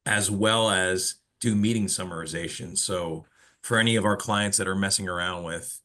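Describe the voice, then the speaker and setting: A man's voice: low-pitched, fairly even intonation, moderate pace, bright, forceful tone. A man hosting an informal business webinar, explaining things and putting questions to a colleague. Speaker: webinar host of a telecom company.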